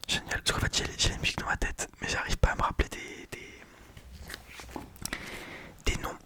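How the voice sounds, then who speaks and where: A young adult vlogger whispering a rambling vinyl haul late at night, tired and often correcting themselves. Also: moderate pace.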